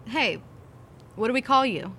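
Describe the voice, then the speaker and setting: A woman's voice: on the high side, lively intonation, measured pace, pushed and bright, slight background noise, exaggerated voice. A woman playing a friendly, matter-of-fact scientist in an audio drama, speaking casually.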